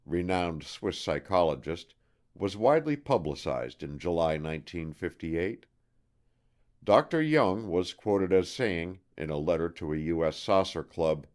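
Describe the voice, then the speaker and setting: Deep-voiced male narrator with lively intonation. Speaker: audiobook narrator. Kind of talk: scripted non-fiction narration.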